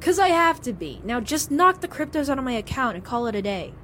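A woman's voice: sits high, sing-song, a little fast, a bit bright, a little hiss or hum. A woman playing a brash, defiant young courier driver in an audio drama, indignant and punchy.

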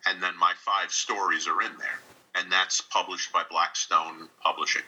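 A man's voice: low-pitched, level tone, normal speed, pushed and bright, slightly rough, poor audio. A man from New York State answering interview questions casually and animatedly, often repeating his phrases. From New York State, United States. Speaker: interview guest, radio drama writer and director.

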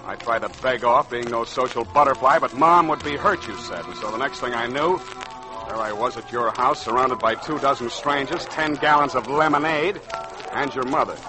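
A man plays a tough, wry private eye in a 1940s radio mystery. His voice is medium-pitched and hard-boiled, with music running behind it on an old recording. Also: moderate pace, natural voice, poor audio. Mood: wry narrating. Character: hard-boiled, wisecracking male private detective.

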